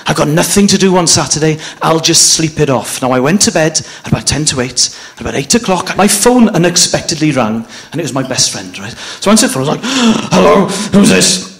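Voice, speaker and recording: A Welsh man whose first language is Welsh, doing a stand-up comedy set on a club stage in a deadpan storytelling manner, jumping into shrill voices when he quotes people. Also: fairly quick, few pauses. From Wales. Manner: deadpan storytelling with sudden high-pitched acted quotes. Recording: live club recording with audience noise.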